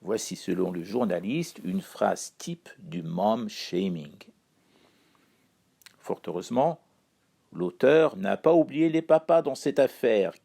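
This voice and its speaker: Male columnist from Iran, dark gravelly voice, dry ironic read. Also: on the low side. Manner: wry, ironic, measured delivery. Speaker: chronicler. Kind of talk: read-aloud spoken column.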